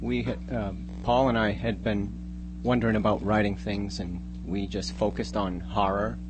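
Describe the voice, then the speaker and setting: A man, a guest writer, giving casual, somewhat halting and reflective interview answers. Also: on the low side, level tone. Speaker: guest, amateur scriptwriter. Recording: noticeable hum and background noise.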